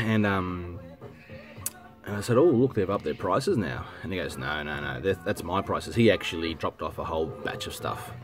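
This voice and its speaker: An Australian man talks casually to his viewers in a low voice that sounds congested from a head cold. He rambles, backtracks and clears his throat often, while soft music plays in the background. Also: animated, normal speed. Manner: rambling, self-correcting, frequent ums and throat clearing. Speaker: vinyl collecting vlogger. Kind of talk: casual rambling chat to camera. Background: music playing softly.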